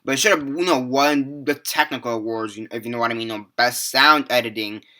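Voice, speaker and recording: Rambling teenage guy with a bright voice. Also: medium pitch, brisk. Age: teens. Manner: rambling, filler-heavy, swears casually. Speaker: movie reviewer. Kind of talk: casual unscripted movie review.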